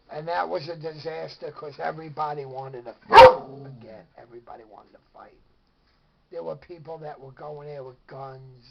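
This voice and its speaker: A middle-aged man with a gravelly voice, rambling casually through old memories to camera at home. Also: on the high side, dull audio. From Brooklyn, New York. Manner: rambling, colloquial storytelling. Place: at home with a pet dog.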